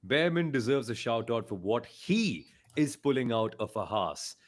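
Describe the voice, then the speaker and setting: A man's voice: medium pitch, sing-song, a little slow. A man hosting a casual motorsport podcast, making his points emphatically and reading out listener comments. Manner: emphatic, repeats points for weight.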